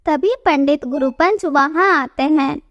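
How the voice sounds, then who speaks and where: A female narrator with a clearly high voice. She reads a scripted cartoon moral tale as a storyteller. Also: measured pace, a bit bright, dull audio.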